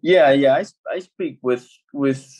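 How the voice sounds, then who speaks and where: A man's voice: mid-range voice, unhurried, a little mellow. A young man, a footballer from El Salvador, talking casually as a guest on a video-call interview, rambling with many restarts. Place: small home room. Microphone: laptop video call.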